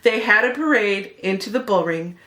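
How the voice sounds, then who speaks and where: A woman's voice: mid-range voice, animated, moderate pace, sharp tone. A woman narrating a children's picture book expressively, as a read-aloud host speaking to young listeners.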